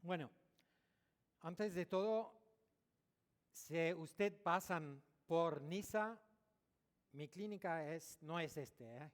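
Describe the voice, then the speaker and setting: A man speaking Spanish as a non-native speaker, giving a dental conference lecture, pausing often and correcting his own words. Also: on the high side. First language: French or Italian, not Spanish. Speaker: dentist giving a conference talk. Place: large lecture hall. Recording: echoey hall recording.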